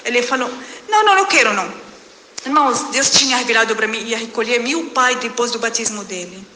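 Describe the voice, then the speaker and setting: A middle-aged woman from Syria, giving a fervent church testimony in halting phrasing and calling out to the congregation. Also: fairly high pitch, expressive, bright, forceful tone. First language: Arabic. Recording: echoey hall with background noise.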